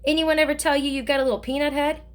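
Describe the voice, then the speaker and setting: A chatty old man from a storybook, kind and full of jokes, who rambles on in a folksy way. A woman acts him out, with his voice set fairly high. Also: little pitch movement, rapid-fire, faint music behind, close to an everyday voice. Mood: teasing. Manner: joking, folksy, talkative. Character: teasing kindly older male driver.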